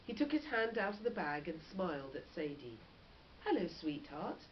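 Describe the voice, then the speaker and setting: Lady teacher reading a kids book out loud, really expressive, lo-fi with a little background noise. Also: fairly low pitch, brisk. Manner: animated, with short explanatory asides. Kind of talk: expressive children's storybook reading. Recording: dull lo-fi audio with faint noise.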